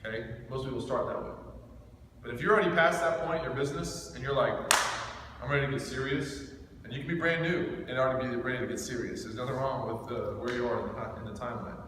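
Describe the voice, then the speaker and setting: A man's voice: medium pitch, quick pace. A man in his thirties gives an informal motivational training talk to a group, speaking intensely and directly with emphatic rhetorical questions. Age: thirty-seven. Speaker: business team trainer. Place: echoing indoor room with a small group. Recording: echoey room recorded from far off, faint noise.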